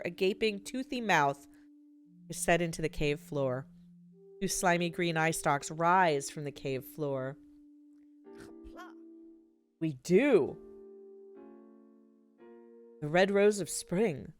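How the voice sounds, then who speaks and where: A woman game streamer reading a visual novel aloud and reacting expressively in a live let's play. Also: on the low side.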